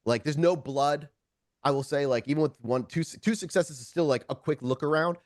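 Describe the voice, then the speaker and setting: A man's voice: fairly high pitch, normal speed, dry recording, clean studio sound. A man narrating a tabletop roleplaying game as game master, conversational and informal, with descriptive storytelling.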